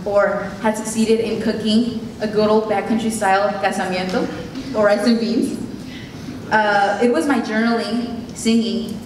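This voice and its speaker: A woman's voice: mid-range voice, background noise. Earnest young woman in an echoey hall. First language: Spanish. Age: late teens. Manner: earnest, heartfelt, reading from notes. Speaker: keynote speaker. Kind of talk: prepared speech. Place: event hall. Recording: echoey hall recorded from a distance.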